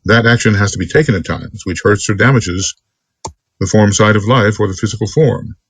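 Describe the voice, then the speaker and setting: A man giving an extemporaneous spiritual lecture as a teacher, speaking earnestly in deliberate, halting phrases. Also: lowish voice, a bit bright, slightly rough. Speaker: esoteric teacher.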